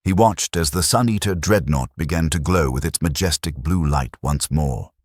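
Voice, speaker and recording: A man reading a science fiction audiobook with grave drama. His voice is extremely deep and husky, with plenty of movement in pitch. The sound is close and dry. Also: normal speed, dry recording. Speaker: narrator. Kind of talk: scripted audiobook narration.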